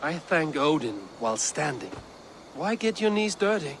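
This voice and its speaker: An actor playing an honorable, plain-spoken Viking warrior in a video game, earnest and gently teasing. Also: male voice, fairly high pitch, sing-song, moderate pace, a little hiss or hum. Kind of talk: dramatic medieval video game dialogue.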